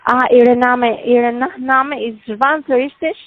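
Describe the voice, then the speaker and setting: Female caller, very slow, rude, muffled line. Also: on the high side, steady tone, no echo. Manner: slow, insulting, combative. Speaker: call center agent.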